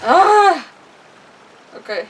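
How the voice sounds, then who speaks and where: A woman streaming with casual game commentary, expressive and rambling as she thinks aloud. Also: very high voice, drawn-out words, far from the mic, slight background noise. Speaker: live streamer.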